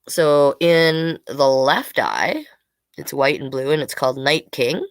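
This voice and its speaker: Woman, low pitch, children's storytime host, informal, chatty asides. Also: very animated, slow pace. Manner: informal, interjects comments while reading. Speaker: drag king storyteller, host. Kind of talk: reading children's picture books aloud with chatty asides.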